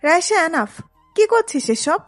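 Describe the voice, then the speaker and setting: Actress as stern, high-voiced older sister. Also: female voice, big pitch swings, unhurried, no room sound, soft background music, full character voice. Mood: stern scolding. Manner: stern, admonishing. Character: protective, exasperated older sister scolding her younger sister. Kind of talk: dramatised romantic audio story.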